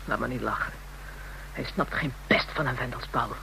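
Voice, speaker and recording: An actress playing a brash, jaded, street-hardened prostitute in a Dutch radio play, coarse, scornful and frank. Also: female voice, extremely low pitch, moderate pace, vocal fry, sharp tone, exaggerated voice, low-quality recording. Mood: scornful, amused. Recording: radio drama with background noise.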